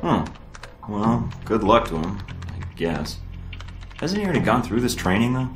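Cocky young agent, low-pitched, flippant, video game cutscene, roomy with music behind. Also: male voice, sing-song, moderate pace. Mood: nonchalant. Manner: casual, flippant. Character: smooth, cocky young male spy recruit. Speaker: recruit agent.

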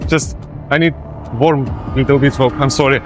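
A man's voice: medium pitch, steady tone, normal speed. A young man posing as a cleaner in a gym, apologizing and giggling constantly in broken English. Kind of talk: hidden-camera prank. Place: large indoor gym. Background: music.

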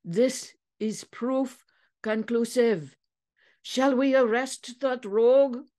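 A self-assured man of deduction , played by a woman narrator. He declaims slowly and confidently, like the triumphant sleuth of a storybook mystery. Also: medium pitch, voice pushed up, close to an everyday voice.

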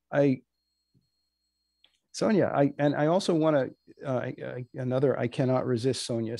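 A man hosting a panel in a deliberate, deadpan manner. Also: medium pitch, creaky, slightly rough, no room sound, studio quality. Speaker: host. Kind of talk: dry, probing moderating of a panel. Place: indoor room, video call.

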